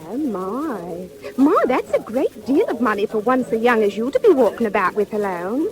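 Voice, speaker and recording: A woman's voice: fairly high pitch, sing-song, moderate pace, darkish tone, low-quality recording. An actress playing a streetwise young woman thief in a 1940s audio drama, colloquial, by turns coaxing and curt. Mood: coaxing, sweet. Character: streetwise young woman thief, sweet-talking then hard. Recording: old 1940s record with faint noise.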